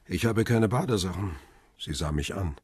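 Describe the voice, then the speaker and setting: An actor playing a middle-aged, world-weary male photographer who narrates a radio crime drama in a measured, reflective way. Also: low-pitched, expressive, darkish tone, husky, no room sound, studio quality, exaggerated voice. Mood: reflective, tender. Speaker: first-person narrator and lead character.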